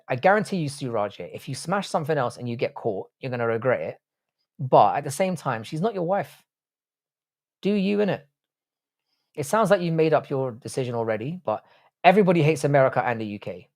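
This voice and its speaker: Man, young adult, live stream host, blunt crude jokes, casual chat, mid-pitched voice. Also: darkish tone. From Ireland, grew up in the UK. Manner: blunt, jokey, crude banter. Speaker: livestream host reading chat.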